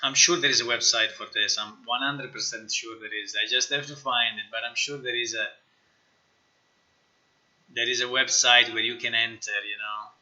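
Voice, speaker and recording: Sharp-voiced man chatting on a livestream. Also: medium pitch, moderate pace, lo-fi sound. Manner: informal, excitable, repeats words and phrases. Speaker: live streamer, game developer. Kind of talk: casual livestream chat, thinking aloud while working.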